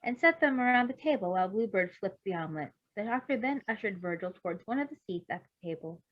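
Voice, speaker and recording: A woman's voice: medium pitch, lively intonation, quick pace. Woman narrating a story, slightly muffled sound. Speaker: amateur fan fiction reader. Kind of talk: expressive story narration with casual asides.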